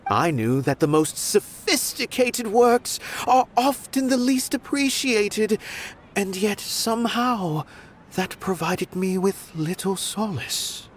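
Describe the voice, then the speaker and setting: Pompous, lilting art connoisseur. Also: male voice, high-pitched, lively intonation, slow, a little hiss or hum. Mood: musing. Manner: pompous, theatrical, archaic phrasing. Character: aloof, philosophical male art connoisseur, wordy and grandiose. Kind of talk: anime game voice acting. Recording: loud music behind the voice.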